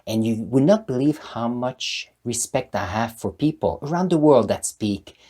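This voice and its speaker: French-canadian guy in his 60s, earnest pep talk to camera, voice swoops up and down, kinda husky. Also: mid-range voice, expressive, moderate pace, mellow. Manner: earnest, emphatic, repeats key words. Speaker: channel host.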